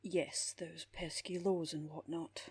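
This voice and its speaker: A woman playing a composed, wry underworld therapist with a husky voice and measured, formal delivery in an audio drama. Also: sits low, flat delivery, dry recording, full character voice. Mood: dry amusement. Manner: measured, dry, formal wording. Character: composed, wry female underworld therapist who makes people disappear. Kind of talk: audio roleplay drama acting.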